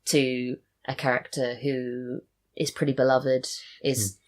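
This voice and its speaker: A woman's voice: rumbling low, level tone, slow. A woman chatting casually as an interview guest, thoughtful and correcting herself as she talks. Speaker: podcast guest, audio drama writer.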